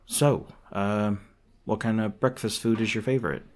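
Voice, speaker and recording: A man performs a gruff, defensive monster hunter in an intimate ASMR roleplay drama. His voice sits fairly low. He talks casually and swears, hesitating awkwardly as his secretly caring side shows through. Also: steady tone, moderate pace, lightly acted. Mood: awkward small talk.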